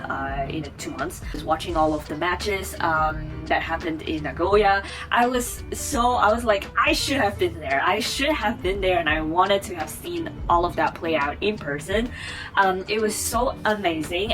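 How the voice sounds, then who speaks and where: A woman in her twenties from Taiwan, chatting to camera as a vlogger, rambling with many fillers and restarts. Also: sits low. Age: 20s. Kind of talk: casual chat to camera.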